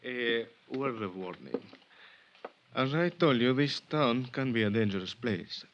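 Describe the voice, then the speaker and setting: Suspicious Mexican lieutenant for old-time radio, mid-pitched, measured and official, on dulled vintage sound. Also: male voice, sing-song, fairly bright tone. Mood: guarded, formal. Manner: formal, measured, deliberate. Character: formal, dry, suspicious Mexican police lieutenant. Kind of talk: 1950s radio drama acting. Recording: dull vintage radio recording.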